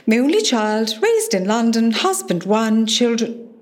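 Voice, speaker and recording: A woman's voice: on the high side, sing-song, a little slow. An actress playing a polite, genteel female film star as a hospital patient in a radio play, gracious and reserved. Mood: gracious, guarded.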